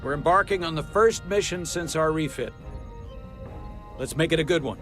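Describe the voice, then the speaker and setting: An actor playing a stern, proud starship captain in a video game, formal and commanding in manner. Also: male voice, on the high side, normal speed, a bit bright, soft background music, natural voice. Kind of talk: dramatic video game acting.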